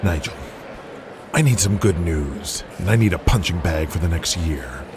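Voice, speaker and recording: A man's voice: low-pitched, lively intonation, normal speed. A man playing a crude, scheming wrestling promoter in an audio drama, blunt, profane and commanding. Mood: scheming, demanding. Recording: noticeable background noise.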